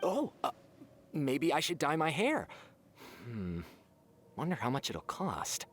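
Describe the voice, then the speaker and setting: A woman's voice: sits low, very animated, slow pace, slight background noise, heavily acted. A woman voicing a chatty young female spy in a game.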